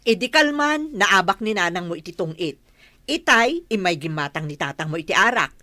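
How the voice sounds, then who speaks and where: A woman's voice: lowish voice, big pitch swings, normal speed, pushed and bright, no room sound. A woman with an Ilocano accent playing a scolding, incredulous but grudgingly kind village auntie in a comedy radio drama. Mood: disapproving.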